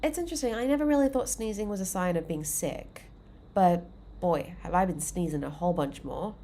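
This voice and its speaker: Woman livestream host, lower voice, stuffy and congested, upbeat rambling chat with lots of "um" and "like". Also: a little fast, warm tone. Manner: chatty, filler-heavy, upbeat. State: congested, under the weather.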